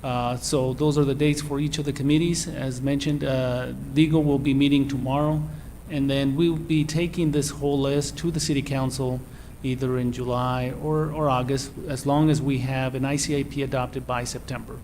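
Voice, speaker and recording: A man's voice: mid-range voice, steady tone, normal speed, darkish tone, a little hiss or hum. Man presenting formally, many uhs. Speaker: city staff presenter. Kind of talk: public meeting presentation. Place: city council chamber.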